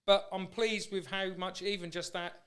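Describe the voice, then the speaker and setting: A man's voice: high voice, steady tone, normal speed, fairly bright tone, clear, resonant tone. A man hosting a podcast in casual chat, with an informal, matey way of talking. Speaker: podcast host.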